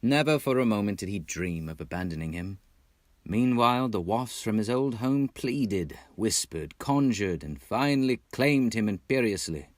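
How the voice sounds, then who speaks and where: A man from Texas reading a storybook in a dramatic, measured way. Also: fairly low pitch, animated, no echo, studio quality. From Texas, United States. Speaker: amateur audiobook narrator. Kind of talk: expressive scripted storybook narration.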